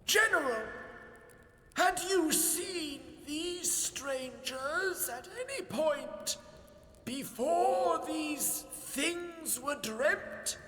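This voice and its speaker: An actor playing a very old, long-winded bishop with a slow, quavering, rambling delivery. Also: male voice, extremely high pitch, expressive, bright, forceful tone, a little hiss or hum, full character voice. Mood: probing. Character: ancient doddering male bishop, long-winded. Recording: echoey reverberant room.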